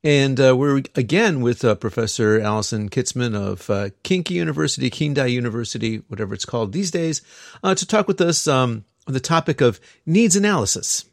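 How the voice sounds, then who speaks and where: A man's voice: medium pitch, expressive, fairly quick, vocal fry, no echo, clean studio sound. A man hosting a casual podcast conversation, talking loosely with many fillers and restarts. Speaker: podcast host.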